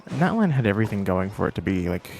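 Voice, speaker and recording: A man's voice: lowish voice, big pitch swings, quick pace, vocal fry, a little mellow, a little hiss or hum. A young man chatting casually about a game, blunt and profane, often correcting himself mid-thought. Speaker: co-commentator. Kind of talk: casual game reaction chat.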